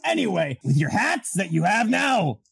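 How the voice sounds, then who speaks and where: A woman voicing a gruff, goofy old great-uncle with a gravelly, husky voice in an improvised cartoon parody dub. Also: medium pitch, sing-song, moderate pace, full character voice. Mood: jovial, blustering. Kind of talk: improvised comedy fandub.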